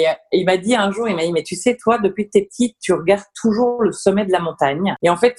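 A woman, a business founder talking enthusiastically as a podcast guest. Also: fairly low pitch. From Belgium. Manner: lively, enthusiastic, talks through ideas with fillers. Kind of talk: casual interview chat.

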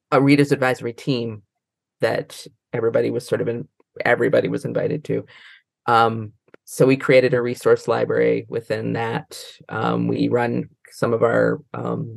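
A woman's voice: a bass voice, gravelly, breathy edge, dry recording. An American woman talking casually as an interview guest, rambling and often trailing off mid-thought. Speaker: interview guest, librarian and trainer.

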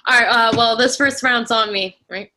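Casting: woman to play chirpy, slightly awkward female bar-goer for a play reading; crisp bright tone, chatty manner. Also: fairly high pitch, a little slow, a little hiss or hum, low-quality recording. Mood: friendly, upbeat.

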